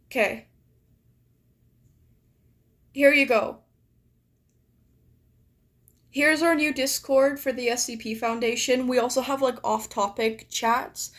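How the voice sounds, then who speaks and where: A young woman streaming and chatting casually with viewers, her voice a little raspy and deeper from just waking up, talking in a rambling way full of "like". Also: fairly bright tone. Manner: chatty, filler-heavy, many "like"s. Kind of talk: casual live-stream chat.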